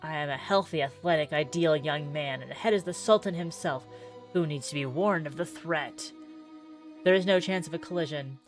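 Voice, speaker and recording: A woman giving a dramatic, deliberate read-aloud of narrative game text, pausing for effect. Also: low voice. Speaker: streamer playing a visual novel demo. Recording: music playing under the voice.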